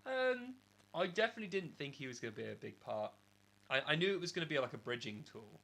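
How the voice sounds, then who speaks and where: A man's voice: fairly high pitch, sing-song, quick pace, fairly bright tone. A man from England co-hosting a podcast, talking casually with a slightly rattly voice after being ill, rambling with many restarts. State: just recovered from illness, rattly voice. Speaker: podcast co-host. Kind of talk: casual chat.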